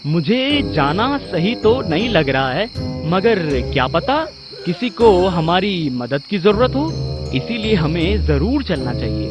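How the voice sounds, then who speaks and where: A man's voice: sits high, voice pushed up, exaggerated voice. A man voicing a nervous but willing young man in a spooky storybook tale, hesitant and earnest. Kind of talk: storybook character voice.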